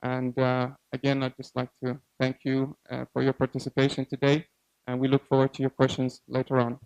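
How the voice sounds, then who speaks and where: A man presenting a program at a donor event, explaining the process in a steady, measured way with some fillers. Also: mid-range voice, creaky, smooth, dry recording, lo-fi sound. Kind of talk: formal presentation.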